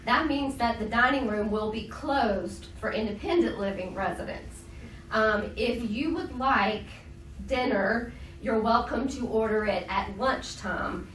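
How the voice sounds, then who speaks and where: A woman's voice: medium pitch, fairly bright tone. Woman, stuffy nose, casual announcements with many ums, echoey room, mic far off, faint noise. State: nasal from a cold. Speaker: resident life staff. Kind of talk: community announcements.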